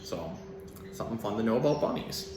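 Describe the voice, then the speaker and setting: Guy doing kid friendly animal talk and storytime, bouncy pitch, roomy sound w some noise. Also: animated, brisk, darkish tone. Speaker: zookeeper educator and storyteller. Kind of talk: informal animal talk and children's storybook read-aloud.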